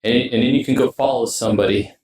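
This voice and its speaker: A man giving casual, rambling streamer talk, halting and often trailing off mid-sentence. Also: medium pitch, steady tone, a little mellow. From United States. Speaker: video game streamer. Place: indoors in his streaming room setup. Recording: roomy echo, distant mic, music behind.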